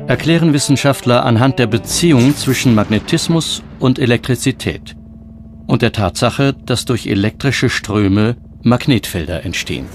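A man's voice: mid-range voice, animated, normal speed. A man reading scripted documentary narration as the narrator. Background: plucked guitar music.

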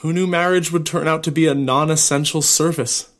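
Man playing a higher-voiced, loving young groom. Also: steady tone, fairly quick, fairly bright tone, natural voice. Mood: wry. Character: loving, joking young groom reassuring his bride. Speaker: actor in an audio drama. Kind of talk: naturalistic audio drama acting.

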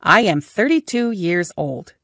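A woman teaching English, saying each short everyday phrase several times, slowly and carefully for learners. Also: on the low side, sing-song, creaky, a bit bright, no room sound, studio quality. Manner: slow, careful phrase repetition. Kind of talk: repeating phrases for language learners.

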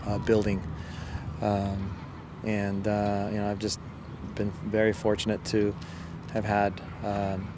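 Low, even-toned middle-aged man, noisy outdoors. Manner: halting, measured delivery with repeated words. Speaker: soccer head coach being interviewed. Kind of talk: post-match interview. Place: outdoors on a soccer field. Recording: outdoor field recording with loud ambient noise.

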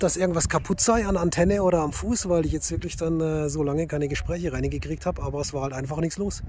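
Middle-aged male vlogger, slightly high voice, casual hobbyist chat, faint background noise. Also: mellow. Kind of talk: casual vlog chat and radio calls. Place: inside a parked car and outdoors in snowy forest.

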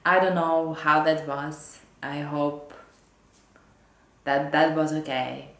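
A woman in her thirties with English as a second language, hosting a knitting podcast at home and sometimes halting to find her words. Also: sits low, steady tone, slight background noise. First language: not English, not Danish. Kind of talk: casual chat to camera. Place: living room at home, indoors. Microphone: camera or laptop mic at desk distance. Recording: roomy home echo.

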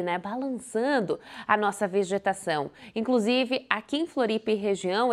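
Young woman doing the tv weather, playful and animated, a bit high voice, studio lapel mic. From Brazil. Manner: lively, playful, gesturing while presenting. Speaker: weather presenter. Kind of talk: broadcast weather forecast. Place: TV studio in front of a video wall.

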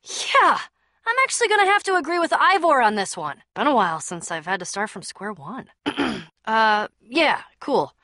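A woman's voice: fairly high pitch, very animated, moderate pace, bright, forceful tone, dry recording, studio quality, full character voice. A woman playing a brave, sarcastic young adventurer in a video game, with a casual, wry and teasing delivery. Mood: wry, uneasy. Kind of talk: animated game dialogue.

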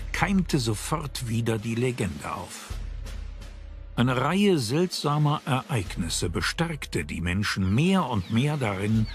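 A man reading scripted narration for a documentary as its narrator. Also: medium pitch. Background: background music.

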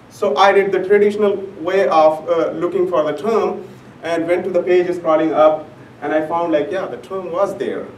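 A young man, a native Urdu speaker, presents at a conference in a fairly high voice, halting often with fillers. He is recorded from far off in an echoey room. A faint hum runs underneath. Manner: halting, many fillers and restarts. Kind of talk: academic conference talk. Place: conference room, large and indoors. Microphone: room camera mic from far off.

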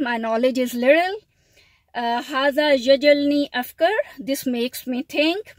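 A woman's voice: high-pitched, no echo. A middle-aged woman, a Punjabi speaker, talking hesitantly with many fillers in a casual video diary from inside a car. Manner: hesitant, many fillers, lists word meanings. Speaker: language learner. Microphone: phone held at arm's length.

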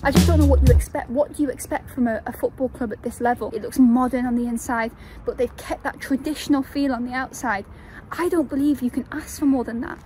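A woman's voice: high-pitched, brisk, dark tone, faint music behind. A young woman giving chatty, opinionated vlog commentary outdoors, filmed on a handheld phone. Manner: chatty, opinionated, self-interrupting. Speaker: vlogger. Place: outdoors by a football stadium. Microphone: handheld phone at arm's length. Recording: outdoor noise behind the voice.

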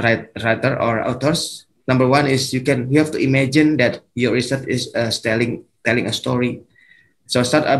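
Brief: man, Indonesian accent, mid-pitched, guest lecturer on a video call, halting delivery with many restarts. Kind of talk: online academic lecture.

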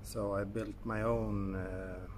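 A man in his thirties with a Swedish accent, talking casually to a phone camera in a garage, with many pauses and fillers. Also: lowish voice, level tone, a little hiss or hum. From Sweden. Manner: halting, many fillers and self-corrections. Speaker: car hobbyist vlogger. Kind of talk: casual selfie vlog. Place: indoor garage. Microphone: phone held at arm's length.

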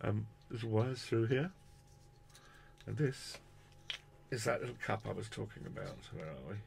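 A man's voice: fairly low pitch, expressive, measured pace, gravelly, noisy background. A British man in his fifties presenting an unscripted product review in a casual, chatty, rambling, self-deprecating way. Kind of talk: casual unscripted unboxing commentary. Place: small cluttered room indoors.

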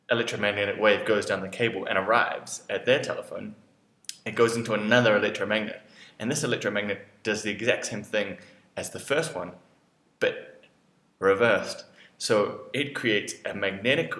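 A man in his thirties presenting an explainer, talking deliberately step by step with pauses. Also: fairly low pitch, fairly bright tone. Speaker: educational video presenter. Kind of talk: explainer narration to camera. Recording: roomy indoor echo.

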